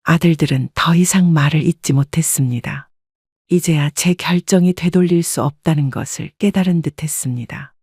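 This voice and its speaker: A woman narrates a first-person story in a calm, even, reflective scripted reading. Her voice has a soft, breathy edge, and the recording is dry and close. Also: deep voice, moderate pace, dry recording.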